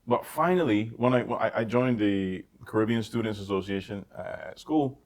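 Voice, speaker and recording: A man's voice: lowish voice, moderate pace, a little mellow. A man co-hosting a casual chat, often repeating words and phrases for emphasis. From Barbados. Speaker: podcast co-host and stand-up comic.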